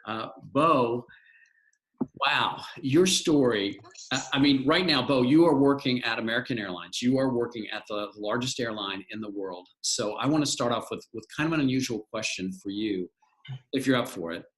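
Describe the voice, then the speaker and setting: A man's voice: medium pitch, moderate pace, fairly bright tone, poor audio. Enthusiastic middle-aged male moderator. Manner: enthusiastic, repeats words while searching. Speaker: panel moderator, alumnus. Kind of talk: moderating a live panel.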